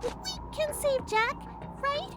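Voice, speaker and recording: Squeaky, nervous little girl. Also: slow, over music. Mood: timid, anxious. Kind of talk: comedic video game parody dub.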